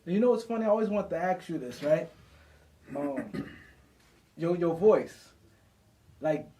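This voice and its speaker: A man's voice: fairly high pitch, lively intonation, slow, warm tone, recorded from a distance. A man co-hosting a podcast, casual and loose, rambling in street slang. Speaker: podcast co-host. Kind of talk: casual interview chat.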